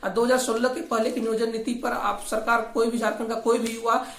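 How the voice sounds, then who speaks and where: Female political commentator, voice on the high side, argumentative and critical, informal delivery, strong room echo. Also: level tone, fairly quick. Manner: critical, argumentative, repeats points. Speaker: host of a regional affairs channel. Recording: echoey, roomy voice recording.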